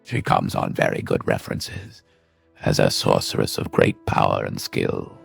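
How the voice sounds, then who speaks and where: A man playing a commanding leader of fantasy adventurers, gravelly and authoritative, in audiobook dialogue. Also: very deep voice, flat delivery, normal speed, soft background music, full character voice. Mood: confident, appraising. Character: commanding male adventurer leading a party of vampire hunters.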